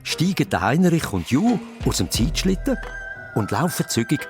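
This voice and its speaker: Animated Swiss-accented man narrating suspensefully. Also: a little high, normal speed, music in the background. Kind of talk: scripted narration for a children's radio play.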